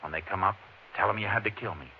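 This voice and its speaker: An actor playing a tough, cool-headed Texas Ranger in a 1950s radio western, terse and commanding. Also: male voice, lowish voice, fast, creaky. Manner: terse, commanding, hard-boiled. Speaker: actor in the lead role. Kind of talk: 1950s radio western acting with first-person narration. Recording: dull, noisy old radio recording.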